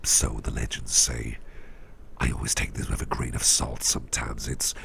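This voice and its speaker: A man playing an eager, friendly boss in a soft, half-whispered ASMR roleplay, rambling and hesitant with a gentle courtesy, his voice deep and gravelly. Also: level tone, normal speed, a little hiss or hum, full character voice. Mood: eager, courteous. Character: eager, friendly male boss researching mythical artifacts.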